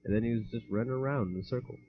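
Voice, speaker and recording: A man's voice: mid-range voice, racing, husky. A young man co-hosting a podcast, chatting casually and rambling, often laughing and mixing up his words. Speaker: podcast co-host. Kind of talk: casual chat. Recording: dull lo-fi home mic.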